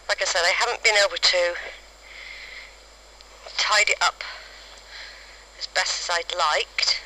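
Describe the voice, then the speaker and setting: A woman recording halting, spontaneous field notes outdoors on a cassette recorder, describing things piece by piece. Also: on the low side, sharp tone. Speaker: amateur family historian recording an audio diary. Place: outdoors in a cemetery. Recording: faintly noisy amateur cassette recording.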